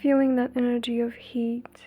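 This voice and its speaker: A woman leading a guided meditation in a soothing, breathy, gentle way, leaving long pauses between phrases. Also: on the high side, level tone, phone-quality sound. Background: faint music.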